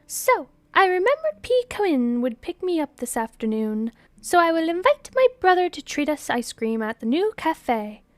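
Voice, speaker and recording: A woman voicing a pouty, pushy young female student in a story, with a loud, insistent, complaining manner. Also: very high-pitched, big pitch swings, moderate pace, hardly any pauses, no echo, pitched above the performer's own voice. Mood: eager, insistent.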